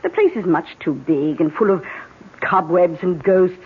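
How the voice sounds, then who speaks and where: A woman's voice: on the low side, moderate pace, husky. An actress playing a breezy, dry British aristocratic woman in a 1970s radio drama, dismissive and gossipy. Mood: breezy, wry. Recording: tinny old radio, music underneath.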